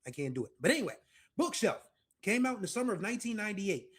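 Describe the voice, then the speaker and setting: An American man in his forties talks through a music episode in a loose, chatty way, wandering into asides and correcting himself as he goes. His voice sits a little higher than most men's. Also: big pitch swings, fast talker, fairly bright tone, slightly rough. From United States. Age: 40s. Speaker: music podcast host. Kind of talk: casual music commentary.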